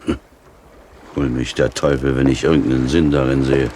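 A man acting a gruff, suspicious seaman in a 1970s radio drama, blunt and grumbling but obedient. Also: extremely low pitch, little pitch movement, normal speed, a little mellow, slight background noise.

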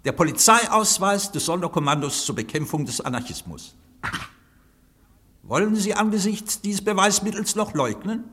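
A bright, high, sweeping-voiced tyrant of an anarchist council, booming and scornful; radio drama, hall reverb. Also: male voice, big pitch swings, moderate pace, full character voice. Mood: commanding. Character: commanding anarchist council president, imperious and mocking. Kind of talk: radio play acting.

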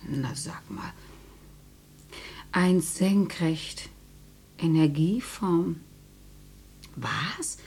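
An actress playing a matter-of-fact older housewife in a radio play, with a husky voice, often muttering as if to herself. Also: female voice, lowish voice, expressive, very slow, pauses often, a little mellow, a little hiss or hum, exaggerated voice. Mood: puzzled. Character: matter-of-fact grandmotherly housewife who learns she is dead.

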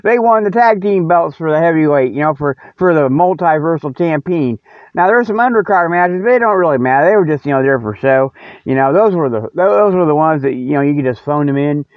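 A man's voice: on the high side, animated, a little fast, no room sound. A man hosting a humorous mock newscast, rambling in a casual, folksy, colloquial and jokey way. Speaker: host of a humorous mock news show. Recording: narrow phone-like sound, dry.

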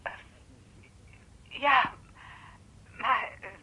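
An actress playing a shy, eager young woman in a naturalistic radio drama, speaking in a halting, earnest way. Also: pushed and bright, muffled recording, heavily acted. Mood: shy, hesitant. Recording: noticeable background noise.

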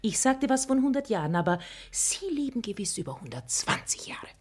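A sly matchmaker in a classic radio comedy. Her voice is highish and husky, and she coaxes and flatters theatrically with smooth-tongued assurance. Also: brisk. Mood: coaxing, assured. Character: shrewd, flattering female matchmaker and schemer. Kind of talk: German radio play of a classic stage comedy.

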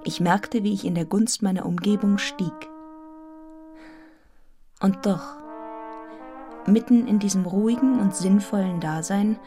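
An earnest, observant young schoolmistress, played by an actress, recounts her life for a radio drama. Her medium-pitched delivery is measured, reflective and articulate, with music clearly audible behind it. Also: mellow, natural voice. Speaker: lead actress and narrator. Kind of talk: literary radio drama narration.

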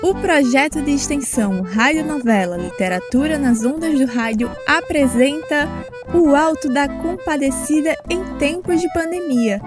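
A woman's voice: sits high, normal speed, music in the background. A woman announcer introducing a radio drama episode from a script.

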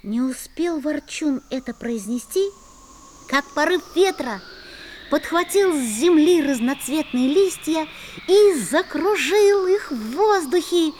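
A young boy narrating a fairy-tale audio play, played by a woman. His voice is high and gentle, with soft music faintly behind. He tells the story in an even, storybook way. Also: exaggerated voice.

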